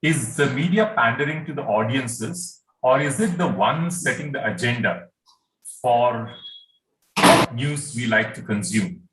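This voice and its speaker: A man's voice: medium pitch, unhurried, fairly bright tone, echoey room, rough recording. A man moderating a conference session, hesitantly reading out audience questions with many fillers.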